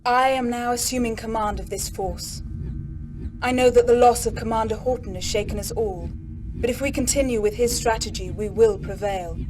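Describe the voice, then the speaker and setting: A woman's voice: on the high side, natural voice. A woman playing a resolute new force commander, giving a formal, composed military briefing in a game cutscene. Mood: solemn, resolute. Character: resolute female investigator turned force commander. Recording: long hall reverb. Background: music.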